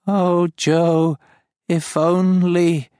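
A man's voice: level tone, drawn-out words, mellow, smooth, dry recording, clean studio sound. A man voicing a distraught, many-legged alien farm creature with a strained, unnatural voice, wailing and pleading melodramatically. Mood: plaintive. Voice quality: high, strained, unnatural timbre.

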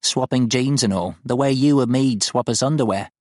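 A man's voice: medium pitch, normal speed, no echo, clean studio sound, pitched above the performer's own voice, close to an everyday voice. A man voicing a shrewd, cagey old woman farmer in her seventies with rustic, colloquial phrasing. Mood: scornful, reminiscing.

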